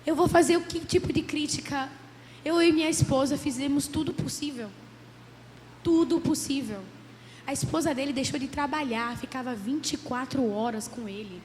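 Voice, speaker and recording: Hoarse female psychologist, fairly high voice, earnest lecture in a reverberant hall with faint noise. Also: moderate pace, a little mellow. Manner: earnest, many "né?" tags. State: hoarse from a cold. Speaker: psychologist giving a church talk. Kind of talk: church lecture. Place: church hall.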